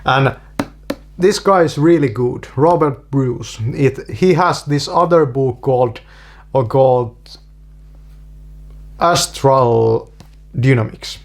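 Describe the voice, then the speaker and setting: A man with a Finnish accent speaks English slowly and haltingly, circling back over his own words. His pitch sits in an ordinary middle range. He talks to the camera as a relaxed, unscripted monologue. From Finland. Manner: halting, many restarts and repeated fillers. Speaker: video creator.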